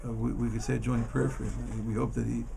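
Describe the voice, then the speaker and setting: A man giving an informal Torah class as a rabbi, in a halting, emphatic manner that often repeats words. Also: mid-range voice, level tone, creaky, background noise. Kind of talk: informal religious lesson.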